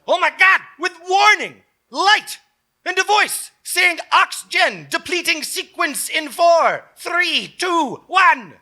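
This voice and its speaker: Male co-host for live comedy, exaggerated and theatrical, lively range, bright tone. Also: very high voice, takes it slowly. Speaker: comedy show co-host and performer.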